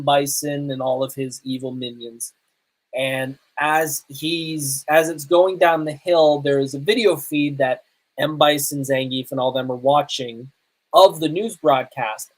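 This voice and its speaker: A man hosting a podcast, reviewing movies casually in an emphatic, halting way with pauses for effect. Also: a little high, steady tone, low-quality recording. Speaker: podcast host.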